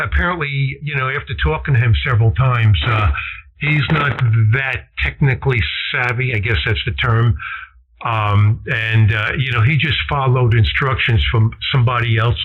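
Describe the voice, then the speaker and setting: A man's voice: mid-range voice, narrow, tinny audio. A man chatting casually about technical matters as an amateur radio operator. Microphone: radio station microphone. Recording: band-limited ham radio transmission.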